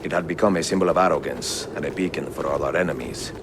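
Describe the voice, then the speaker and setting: An actor playing a weary, reflective older Italian assassin in a game cutscene, speaking in a measured, solemn way with an Italian accent. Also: male voice, extremely low pitch, background noise. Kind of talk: dramatic game cutscene dialogue and letter narration.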